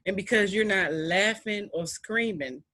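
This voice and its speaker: A young woman with a deep voice hosting a podcast, chatting in an animated, emphatic way with playful repetition. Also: measured pace. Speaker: podcast host. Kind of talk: casual chat.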